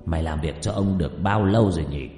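A male storyteller puts on a wealthy landlord in an audio story, stern and quick to temper. His low voice interrogates with condescending menace and moves widely in pitch, in a very echoey space. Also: sing-song, darkish tone. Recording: echoey with long reverb, music behind.

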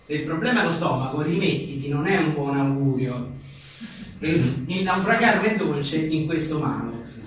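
A man's voice: fairly high pitch, animated, moderate pace. A man reading humorous one-liners aloud with casual asides, in a wry, joking manner. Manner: wry, joking, often chuckling. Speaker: writer and journalist presenting his own book. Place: live book presentation in a reverberant room. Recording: echoey room, distant mic, faint noise.

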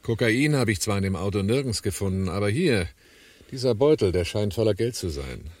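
A cool-headed partner in crime in a radio play. His voice is on the low side. He talks matter-of-factly, with dry teasing and calm control. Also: a little fast. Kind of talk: German radio play dialogue.

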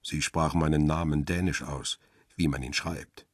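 A man playing a cool, cynical paparazzo in a crime radio drama, speaking in a measured, deliberate and guarded way. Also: extremely low pitch, fairly even intonation, husky, no room sound, clean studio sound, exaggerated voice. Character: male paparazzo photographer, cool, cynical, guarded first-person narrator. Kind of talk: first-person crime radio drama narration and dialogue.

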